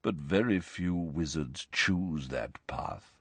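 A mournful old-fashioned gentleman ghost, acted by a male narrator for a storybook. He speaks slowly and softly, low in pitch, with formal, hesitant phrasing. His manner is resigned, gentle and evasive. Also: animated, no echo. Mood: solemn. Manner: slow, hesitant, sighing, formal and quiet. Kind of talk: audiobook character voice.

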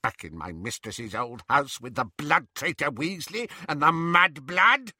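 A man voicing a bitter old male house-elf servant with a croaking voice, frantic and gulping for air. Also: a little high, lively intonation, a little slow, sharp tone, dry recording, studio quality, pitched above the performer's own voice, exaggerated voice. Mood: sneering. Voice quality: croaking bullfrog voice.

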